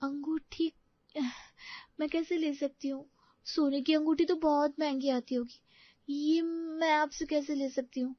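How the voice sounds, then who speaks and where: A woman's voice: high voice, fairly even intonation, a little slow, dry recording, highs cut off. A woman playing a timid, grateful young orphan bride in an audio story, hesitant and deferential.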